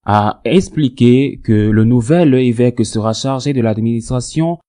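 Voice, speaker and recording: A man presenting scripted religious news and editorial commentary in a measured, formal register. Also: fairly low pitch, warm tone.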